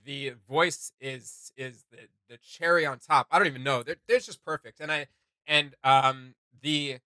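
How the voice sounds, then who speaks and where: A man hosting a casual reaction video, giving enthusiastic commentary in halting, repetitive bursts. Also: on the high side, steady tone, bright, forceful tone, dry recording.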